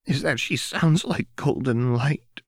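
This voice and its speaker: A man voicing a tearful, childlike young woman, a vulnerable daughter who speaks in a halting, pleading, emotional way in an audiobook. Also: medium pitch, breathy edge, dry recording, studio quality, voice pushed up. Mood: tearful, adoring.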